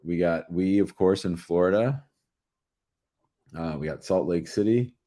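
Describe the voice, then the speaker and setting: A man with an American accent and a distinctly low voice hosts a live show. He talks casually and informally to callers and to the people watching. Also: a little slow, dark tone. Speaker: host of a live call-in show.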